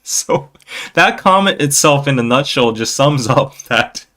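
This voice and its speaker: A man hosting a casual livestream, talking in a playful, rambling way with halting restarts and replies to viewers. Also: medium pitch, lively intonation, sharp tone. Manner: playful, jokey, often chuckling. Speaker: podcast livestream host. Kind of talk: casual livestream chat, reading viewer comments.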